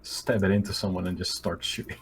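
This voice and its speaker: A man with a lowish voice chats as a player in a tabletop game. His talk is casual, joking and sweary. The voice-chat recording is plainly noisy, with clicks. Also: little pitch movement, a little fast. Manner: sweary, informal.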